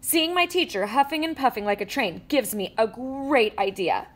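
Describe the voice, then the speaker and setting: A woman reading a children's picture book aloud with animated storytelling and playful sound effects. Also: on the high side, moderate pace. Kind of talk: expressive read-aloud. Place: indoors at a table with a book.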